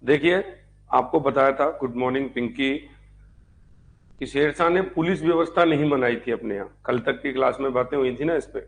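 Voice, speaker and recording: A man's voice: mid-range voice, moderate pace. A man teaching an online history class conversationally, repeating key points and posing rhetorical questions as he goes. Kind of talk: live online exam-prep lecture.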